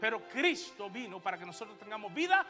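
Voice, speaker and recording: Male pastor, Puerto Rican accent, high pitch, didactic sermon delivery, short check-in questions, reverberant hall. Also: big pitch swings, quick pace, over music. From Puerto Rico. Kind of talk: sermon, Bible teaching.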